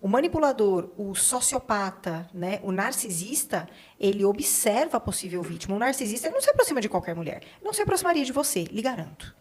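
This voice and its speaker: A woman's voice: medium pitch, very animated, darkish tone. A middle-aged Brazilian woman hosting a podcast, speaking conversationally in a didactic, emphatic way. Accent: Brazilian Portuguese. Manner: didactic, emphatic, deliberate listing. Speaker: podcast host. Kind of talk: conversational interview with explanations.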